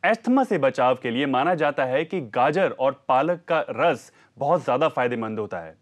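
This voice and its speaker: Male health show host, medium pitch. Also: animated, normal speed. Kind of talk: TV presenting and interviewing.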